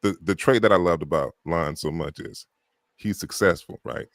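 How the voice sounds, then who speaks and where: Deep-bass male narrator as live-chat guest, relaxed and rambling, dry close recording. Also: moderate pace, no room sound. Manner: loose, rambling, peppered with "you know" and "right".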